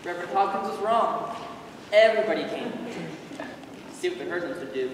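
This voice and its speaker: A woman's voice: lowish voice, animated, brisk, lightly acted. A woman performing as a plain-spoken storyteller, narrating an amateur readers theater skit. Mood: wry. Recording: echoey hall from far off, noisy.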